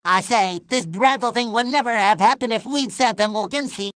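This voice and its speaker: A performer voicing a pushy, cheerfully menacing cartoon character who pitches like a salesman in short comedy ad skits. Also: moderate pace, fairly bright tone, dry recording, clean studio sound, close to an everyday voice. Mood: eager.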